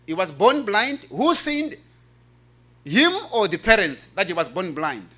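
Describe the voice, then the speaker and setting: High-pitched, bright-voiced African man presenting. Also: sing-song, unhurried. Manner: earnest, emphatic, repeats key phrases. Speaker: presenter reading a paper at a church health forum. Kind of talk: preaching-style conference presentation.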